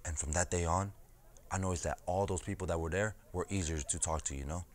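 Man acting as low-voiced plain-spoken farmworker organizer. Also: steady tone, brisk, lightly acted. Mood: wry, recounting. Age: 20s. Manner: conversational storytelling with frequent "you know". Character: farmworker turned union organizer, streetwise and plain-spoken, recounting old struggles. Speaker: actor. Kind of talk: dramatized oral-history monologue.

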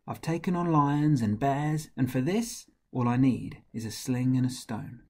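A man's voice: medium pitch, animated, a little slow, darkish tone. A man voicing a brave, earnest young shepherd boy who declaims in rhyme, as in a children's storybook. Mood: earnest, bold.

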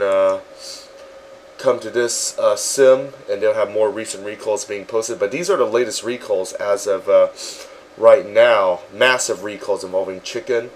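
A man with a fairly low voice guides listeners through a virtual space in a relaxed, meandering way. He pauses often with ums and uhs as he goes. A faint hiss sits under the recording. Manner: relaxed, filler-heavy, halting with frequent ums. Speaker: virtual world tour guide. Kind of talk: casual narrated walkthrough.

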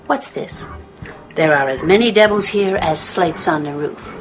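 A woman's voice: lowish voice, animated, unhurried, dull audio, soft background music. A woman in a radio play voicing a mocking, vicious legion of demons inside a possessed girl, in a theatrical manner. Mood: boastful.

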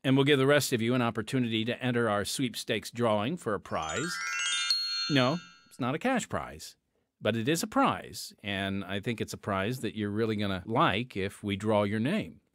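A man's voice: lowish voice, animated, moderate pace, fairly bright tone, slight background noise. A man hosting a podcast, talking conversationally with fillers before introducing the episode. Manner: conversational, with fillers and dramatic shifts. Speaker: podcast host. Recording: music bed under the voice.

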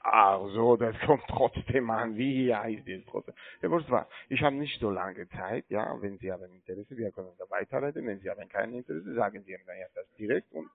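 A man with a foreign accent makes a sales call in a lowish, gravelly voice. His non-native phrasing is halting. It comes over a narrow phone line. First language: not native German speaker. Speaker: cold-calling online trading agent.

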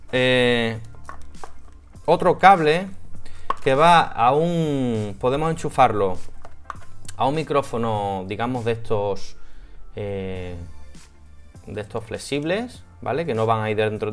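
A man in his thirties with an accent from Spain, talking casually and a bit hesitantly through a hands-on product review. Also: mid-range voice. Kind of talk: casual unboxing and installation walkthrough. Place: small home office room.

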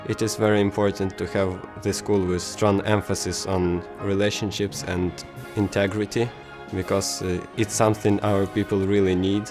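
A man with a very deep, flat voice speaks haltingly in a documentary interview, leaning on fillers. Music plays clearly behind him.

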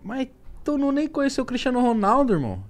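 Quick eager co-host. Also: male voice, extremely high pitch, sing-song. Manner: curious, eager questioner. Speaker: podcast co-host. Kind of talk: casual chat.

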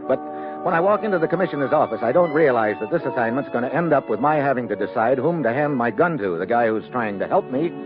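A man's voice: medium pitch, lively intonation, fast, narrow, tinny audio, natural voice. Man acting a wry hard-boiled agent, wide-swinging delivery. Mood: grim, dramatic. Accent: American. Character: tough, wry American secret agent and narrator. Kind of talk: 1950s radio adventure acting. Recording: old band-limited radio recording with music.

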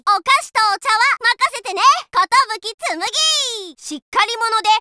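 A woman voicing an energetic, cheerful teenage tomboy schoolgirl drummer for a Japanese anime game, with boyish casual speech and punchy short phrases. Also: very high voice, slow pace, exaggerated voice. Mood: playful announcing. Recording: faint noise, game audio rip with clicks.

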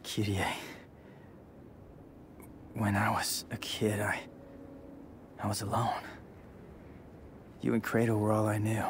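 A man with an American accent playing a brash, guarded young demon hunter in a video game cutscene, gruff and earnest. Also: fairly low pitch, little pitch movement, takes it slowly, long pauses, a little hiss or hum. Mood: low, earnest. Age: young adult.

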